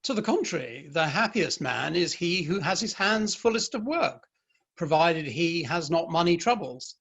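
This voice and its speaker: Guy acting stern proud victorian dad, kinda high voice, formal and emphatic, stage play style. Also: expressive, normal speed, vocal fry, fairly bright tone, husky, exaggerated voice. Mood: earnest, advising. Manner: emphatic, formal, opinionated speech. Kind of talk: dramatic play reading.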